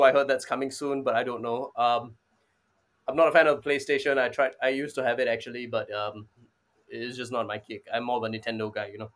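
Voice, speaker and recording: A man's voice: medium pitch, fairly bright tone. A man, answering casually as an interview guest and often saying "you know". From Singapore. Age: 20s. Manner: informal, repeats "you know". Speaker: interview guest, startup advisor.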